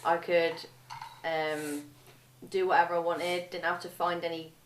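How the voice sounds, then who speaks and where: A woman's voice: fairly low pitch, level tone, unhurried, far from the mic. A 22-year-old woman speaking candidly in a documentary interview, informal and hesitant, often correcting herself. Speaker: young mother being interviewed. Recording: echoey room with faint background noise.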